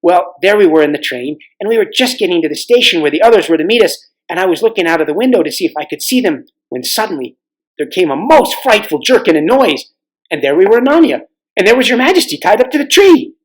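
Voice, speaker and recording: A man's voice: sits high, sing-song, fast talker, rough recording. A man voicing a chatty, eager schoolboy in a storybook, explaining things informally. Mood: excited recounting.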